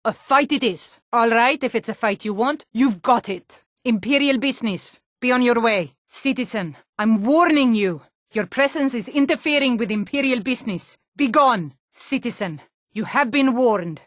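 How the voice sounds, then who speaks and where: A proud, battle-hardened fantasy warrior woman played by an actress for a video game. Her voice sits fairly high and is recorded dry and close. She speaks in terse, commanding phrases, as a blunt soldier or guard would. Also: lively intonation, moderate pace, dry recording, close to an everyday voice. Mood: stern warning. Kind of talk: video game character voice lines.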